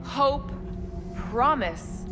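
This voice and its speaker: An actress playing a tough female alien warrior in a video game, measured, dry and matter-of-fact. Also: high-pitched, animated, music in the background, exaggerated voice. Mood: cool, serious. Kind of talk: video game character acting.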